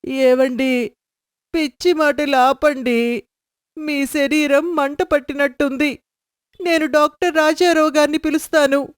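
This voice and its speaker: A woman voicing a worried, sharp-tongued wife who pleads and scolds. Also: sits high, steady tone, unhurried, pauses often, dry recording, clean studio sound, voice pushed up. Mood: anxious.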